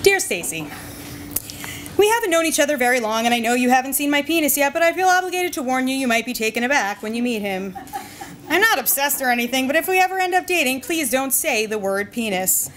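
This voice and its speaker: A woman giving a live comic reading of humorous personal essays at a bar, in a dry, deliberate delivery. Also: high-pitched, expressive, fairly bright tone, slight background noise. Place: bar reading event before an audience.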